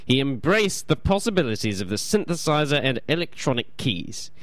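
A man's voice: medium pitch, big pitch swings, moderate pace, no echo. A man hosts a small radio show in a dry, close studio sound. He rambles in a casual, self-mocking way, tripping over words and repeating himself as he reads his notes. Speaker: community radio host. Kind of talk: casual radio chat and reading aloud.

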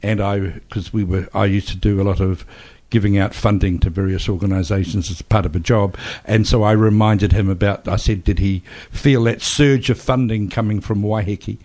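A man's voice: fairly low pitch, fairly even intonation, moderate pace, a little mellow. An older man, hosting a casual radio chat and telling stories with a chuckle. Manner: chatty, anecdotal, chuckles through stories.

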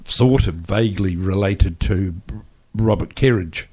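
A man's voice: sits low, measured pace, mellow, slightly rough. An older man, hosting a casual radio chat and telling stories with a chuckle. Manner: chatty, anecdotal, chuckles through stories.

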